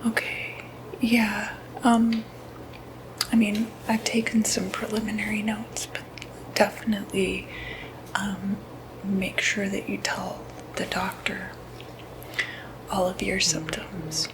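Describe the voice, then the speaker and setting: A woman speaking in a breathy whisper, softly reassuring and hesitant, in an ASMR medical roleplay as clinic staff. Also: mid-range voice, little pitch movement, slow, long pauses. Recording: noticeable background noise, ambient sound.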